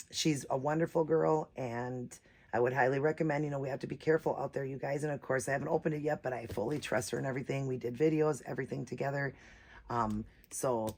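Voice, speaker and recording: A woman with a naturally raspy voice, a bit hoarse from a cold, chatting casually as she hosts a doll unboxing vlog. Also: rumbling low, darkish tone. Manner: chatty, rambling, many fillers. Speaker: reborn doll channel host.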